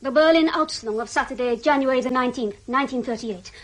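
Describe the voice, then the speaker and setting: High-voiced, formal Soviet researcher played by a woman. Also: quick pace, natural voice, low-quality recording. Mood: assured. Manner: formal, self-assured. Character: crisp, dutiful Soviet female research officer. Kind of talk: British radio drama acting.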